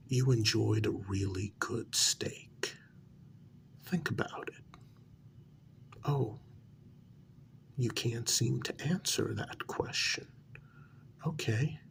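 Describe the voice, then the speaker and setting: A man playing a frustrated, delusional psychiatric patient who thinks he created his world, in a soft-spoken ASMR role-play, breathy and often whispering, with halting, pointed questions. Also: medium pitch, heavily acted.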